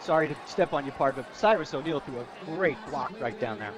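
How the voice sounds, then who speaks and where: American man, folksy football analyst, fairly high voice, fast talker, stadium crowd behind. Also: expressive. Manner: folksy, conversational, musing aloud with rhetorical questions. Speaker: radio colour commentator. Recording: stadium broadcast with crowd noise.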